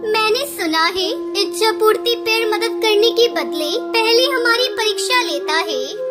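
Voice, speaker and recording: Woman as cartoon mother sparrow, very high pitch, childlike, sweet, earnest, devoted, prominent music. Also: animated, unhurried. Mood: curious. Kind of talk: Hindi children's cartoon dubbing.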